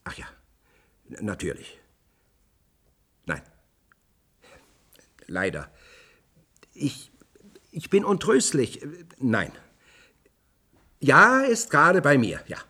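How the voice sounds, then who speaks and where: Nervous pushy bureaucrat, radio drama, swooping intonation, husky. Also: male voice, mid-range voice, wildly swooping pitch, takes it slowly, long pauses, bright, forceful tone, exaggerated voice. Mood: flustered, servile. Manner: hesitant, stammering, impatient. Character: flustered male official, servile to superiors, pushy with subordinates. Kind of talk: German radio play acting.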